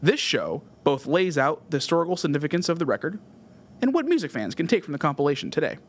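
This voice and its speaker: A man's voice: fairly high pitch, sing-song, fast talker. A man with a Californian American accent reads scripted narration as a music podcast host, with emphatic phrasing. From California, USA. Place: podcast studio.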